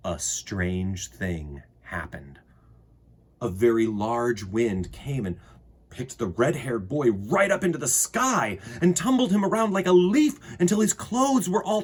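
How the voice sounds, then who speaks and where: A man reading a children's story aloud expressively, with deliberate phrasing and dramatic pauses. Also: mid-range voice, breathy edge.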